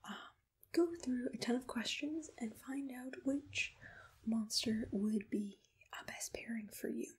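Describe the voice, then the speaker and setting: Woman doing asmr roleplay, super breathy whisper, soothing and attentive, kinda high voice. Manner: soothing, attentive, softly reassuring.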